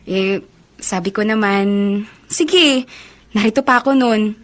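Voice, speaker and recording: A woman's voice: medium pitch, slow pace, close to an everyday voice. A woman playing a cheerful, smitten young college student in a Filipino radio drama, sweet and earnest. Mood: giddy, shy.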